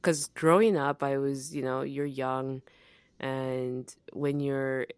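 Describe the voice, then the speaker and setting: A young adult woman from Zambia co-hosting a podcast, talking in a relaxed, reflective way with frequent fillers and laughs. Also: extremely low pitch, takes it slowly, clean tone, dry recording. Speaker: podcast co-host. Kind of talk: casual conversational podcast.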